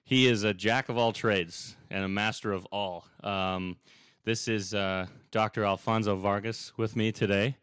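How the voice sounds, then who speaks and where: A man's voice: on the low side, steady tone, measured pace, a bit bright, clear, resonant tone, no echo, studio quality. A young man hosting a podcast interview, chatting casually and rambling with lots of fillers and restarts. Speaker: podcast host.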